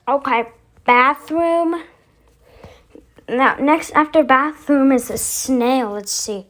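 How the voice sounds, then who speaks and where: A woman's voice: sits high, slow pace, fairly bright tone. A woman hosting casually, musing aloud as she announces each step of a voice effects demonstration. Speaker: video host.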